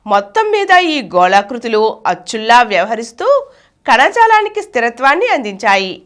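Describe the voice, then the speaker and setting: A woman's voice: fairly high pitch, sing-song, moderate pace, fairly bright tone. A woman presenter reading a scripted educational explainer on health science.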